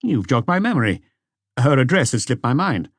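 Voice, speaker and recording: Higher-pitched, evasive man under questioning by a narrator. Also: big pitch swings, normal speed, dry recording, clean studio sound. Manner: hesitant, defensive, higher-pitched. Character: uneasy, evasive boyfriend, a publicist under questioning.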